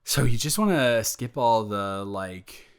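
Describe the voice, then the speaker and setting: A man playing a smitten, playful young roommate in an improvised romantic audio roleplay, talking in a halting, self-correcting, jokey ramble. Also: lowish voice, animated, clear, resonant tone. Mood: smitten, flustered.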